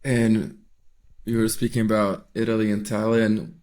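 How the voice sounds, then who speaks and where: Low, flat-voiced Brazilian host. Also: male voice, unhurried. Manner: relaxed, short remarks, laid-back "man" address. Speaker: podcast host. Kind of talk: casual podcast interview.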